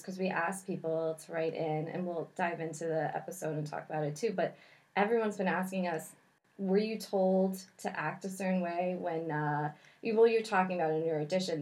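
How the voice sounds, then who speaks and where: A woman hosting a podcast, her voice sitting a little low. She talks in an effusive, eager rush of casual chat. It is recorded cleanly on a studio microphone. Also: moderate pace, darkish tone. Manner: effusive and eager, piling up words. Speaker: podcast co-host.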